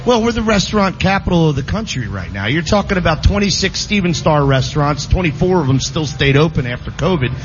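A man hosting a sports talk radio show, animated and playful, asking leading questions. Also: on the high side, fairly quick, hardly any pauses, soft background music. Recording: dull lo-fi audio with faint ambience.

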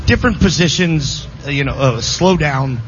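Halting male sports radio host. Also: a little high, lively intonation, slight background noise. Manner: halting, many restarts and fillers. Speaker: sports talk show host. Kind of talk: casual sports radio chat. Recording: slightly muffled broadcast audio. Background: music in the background.

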